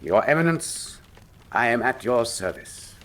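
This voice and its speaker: An actor playing a shrewd, urbane, wry detective in a radio drama, speaking formally and precisely with a theatrical, teasing manner. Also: male voice, mid-range voice, sing-song, measured pace, fairly bright tone. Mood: courtly. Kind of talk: full-cast radio drama acting.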